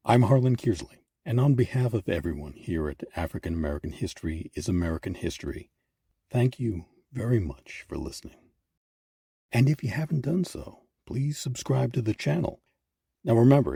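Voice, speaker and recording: A man narrates from a script in a low, heavily husky voice with lively rises and falls. His phrasing is measured and emphatic, as a host presenting history. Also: no echo, clean studio sound. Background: background music.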